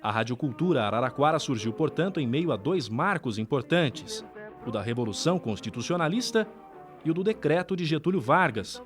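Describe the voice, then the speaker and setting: Brazilian guy narrating a documentary, really animated voice, bit of music under it. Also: on the high side, brisk. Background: background music.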